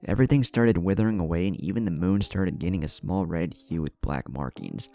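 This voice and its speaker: A man's voice: sits low, brisk, vocal fry, dark tone. A man narrating a scripted story in a dramatic style.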